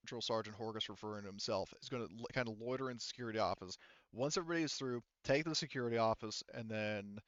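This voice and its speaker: A man's voice: medium pitch, no room sound, muffled recording. A man casually narrating a tabletop game as game master, explaining things in a rambling, informal way.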